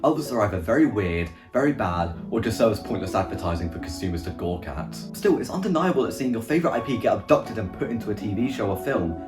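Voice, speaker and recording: A man's voice: fairly low pitch, animated, quick pace, hardly any pauses, music in the background. A young man giving a sarcastic, scripted comedic film review as host, with some swearing. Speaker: video essay host.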